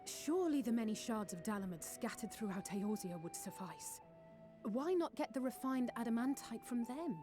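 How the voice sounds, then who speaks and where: A woman's voice: on the high side, lively intonation, normal speed, over music, natural voice. A woman playing a poised, formal fantasy adventurer in a video game, speaking articulately. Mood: inquiring. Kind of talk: video game cutscene acting.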